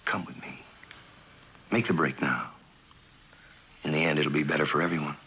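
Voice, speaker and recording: An actor playing a confident, persuasive writer and lover in a mid-century suspense drama. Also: male voice, a bass voice, moderate pace, bright, forceful tone, slight background noise, heavily acted. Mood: insistent.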